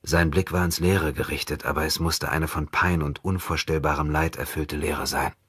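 Male audiobook narrator: extremely low, breathy-husky voice, measured dramatic reading, dry studio sound. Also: fairly even intonation, fairly bright tone, no room sound. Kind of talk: scripted dramatic literary narration.

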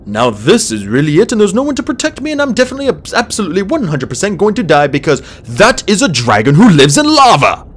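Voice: mid-range voice, huge pitch swings, extremely slow, creaky, bright, forceful tone, breathy edge, voice pushed up, exaggerated voice. A narrator voicing a nervous, bookish young male dragon in an audiobook, urgent and easily flustered. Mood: terrified. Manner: stammering, incredulous, urgent.

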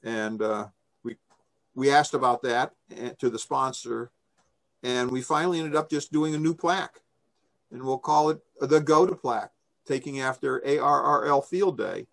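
Older male presenter, conversational and enthusiastic, mid-pitched voice, informal online briefing. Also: moderate pace, vocal fry. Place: small room indoors, on a video call. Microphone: video call microphone.

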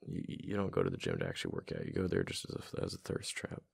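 A man playing a tired, affectionate husband in a boyfriend ASMR audio roleplay, talking in a casual, halting, mildly exasperated way with a deep, gravelly voice. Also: wildly swooping pitch, dry recording, full character voice. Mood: gently exasperated. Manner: casual, halting, sighing, intimate.

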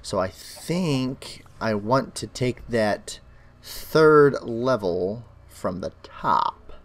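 A young man hosting his own Minecraft let's play, chatting as he plays, his voice crackly and bass-heavy from illness. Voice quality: extra bass from an allergic reaction. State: sick, crackly voice. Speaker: gaming channel host.

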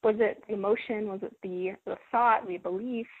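A woman hosting a self-help conference call, talking in a gentle, encouraging, measured way. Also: mid-range voice, fairly even intonation, breathy edge, no echo. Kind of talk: coaching talk. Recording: phone line, dry and lo-fi.

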